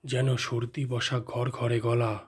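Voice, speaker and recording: A man narrating a scripted ghost story in a measured, dramatic manner. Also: medium pitch, level tone, heavy background noise. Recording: music behind the voice.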